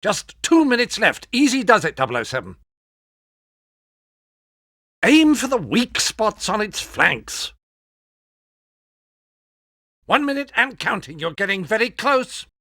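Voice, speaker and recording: A fussy older British quartermaster briefing an agent in a video game. His high voice swoops with exasperation, every instruction formal and precise. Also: big pitch swings, normal speed, hardly any pauses, sharp tone, no room sound, studio quality, full character voice. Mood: urgent instruction.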